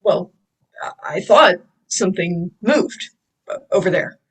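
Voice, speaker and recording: A woman playing a nervous, awestruck young male mechanic trainee in a drama table read, hesitant and halting. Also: fairly low pitch, expressive, slightly rough. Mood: nervous, shaken. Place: online video call table read.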